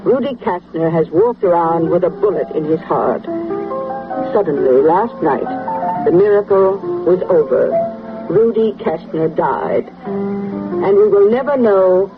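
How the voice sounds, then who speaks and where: An actor playing a grave, formal television newscaster reading a bulletin in a radio drama. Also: male voice, sits high, a little slow. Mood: solemn. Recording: muffled old radio broadcast with music.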